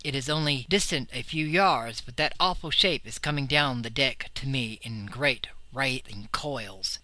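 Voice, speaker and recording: A man's voice: medium pitch, sharp tone, no echo. A man reading a horror story as dramatic scripted narration, with an intense, theatrical and suspenseful delivery. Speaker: audiobook reader.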